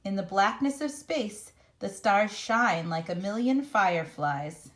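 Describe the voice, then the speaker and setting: A woman reading a children's picture book aloud in a measured, expressive storytelling manner. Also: mid-range voice. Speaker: storybook reader.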